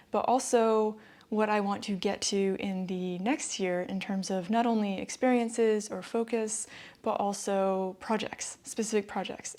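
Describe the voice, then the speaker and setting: A woman's voice: medium pitch, darkish tone. A woman from the northeastern United States with an American accent, talking casually to camera about her sewing plans and laughing lightly between phrases. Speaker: sewing vlogger. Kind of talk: casual vlog talk to camera.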